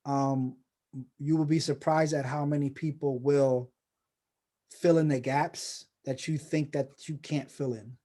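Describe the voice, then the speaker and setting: A man's voice: fairly high pitch, fairly even intonation, a little slow, warm tone. A man hosting a casual video call session, rambling and encouraging with many restarts. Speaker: host of a personal branding course.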